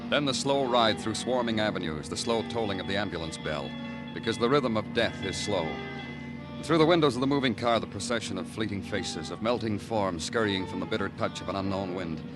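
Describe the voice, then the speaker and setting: Man acting as hard-boiled detective, low voice, deliberate poetic noir delivery, world-weary, vintage radio drama, music behind. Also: expressive, natural voice. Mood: somber. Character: world-weary male New York homicide detective. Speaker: lead actor and narrator.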